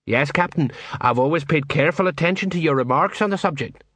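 A man's voice: on the high side, brisk, fairly bright tone, breathy edge, dry recording. Man playing an earnest, loyal young corporal. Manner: earnest, deferential.

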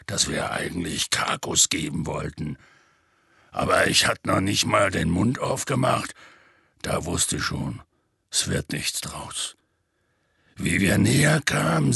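Storybook half-giant, big and rough, very husky and close-dry, weary, homespun, matter-of-fact storyteller. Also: male voice, lowish voice, sharp tone, no echo, heavily acted. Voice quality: husky, gravelly. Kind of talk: audiobook character dialogue.

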